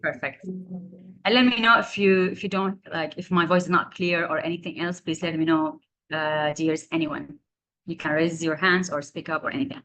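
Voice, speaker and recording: Syriac-speaking woman in her 30s giving a talk on a video call, lowish voice, earnest, searches for words. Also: steady tone, normal speed, fairly bright tone, a little hiss or hum, poor audio. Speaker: guest speaker. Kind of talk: online presentation to students.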